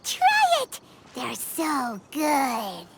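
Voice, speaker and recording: A woman's voice: high-pitched, wildly swooping pitch, drawn-out words, very noisy, exaggerated voice. A woman voicing a tiny, cheerful, chatty childlike fairy companion in a video game, perky and talkative. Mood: excited, chatty. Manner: perky, refers to self in third person.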